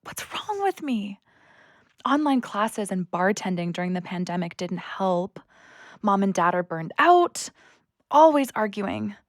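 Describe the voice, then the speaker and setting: A woman's voice: mid-range voice, expressive, measured pace, dry recording, clean studio sound. A young woman playing a restless, anxious daughter who longs for adventure, acting earnestly in an audio play. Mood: anxious, yearning. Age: young adult.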